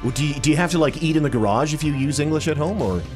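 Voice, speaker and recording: A man's voice: a little high, very fast, over music. A man hosting a morning radio show, teasing his guest in a jokey, chatty way. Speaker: radio host. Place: radio studio.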